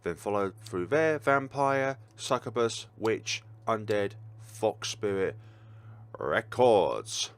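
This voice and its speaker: A man's voice: lowish voice, lively intonation, takes it slowly, clear, resonant tone. A man reading in-game logs and notes aloud for a gaming video, with a dramatic delivery broken by wry asides and self-corrections.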